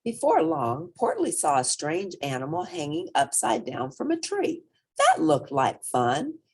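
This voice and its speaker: A woman reading a children's story aloud in an expressive, dramatic, emphatic way. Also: lowish voice, measured pace, husky. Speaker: storybook reader.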